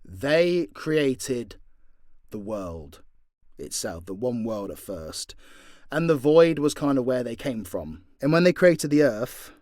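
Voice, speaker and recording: British man with a very animated pitch. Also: slow, no echo, studio quality. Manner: frequent swearing, blunt and joking. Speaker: voice actor and content creator answering fan questions. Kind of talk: casual unscripted Q&A.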